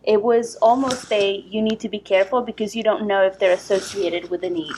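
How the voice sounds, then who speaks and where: A woman in her thirties from Ecuador, a podcast guest telling a story in casual chat, lively and full of fillers. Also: mid-range voice, brisk, a little hiss or hum, rough recording. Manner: lively, animated retelling with many fillers.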